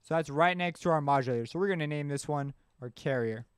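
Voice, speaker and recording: Male tutorial host, slightly high, clean voice, informal think-aloud delivery. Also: fast talker, clean tone, no room sound, studio quality. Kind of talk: casual software tutorial narration. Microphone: close mic screencast voice-over.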